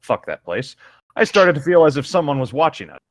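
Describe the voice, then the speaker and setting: A man's voice: a little high, big pitch swings, brisk. A man doing a theatrical, comic reading aloud with casual, jokey asides.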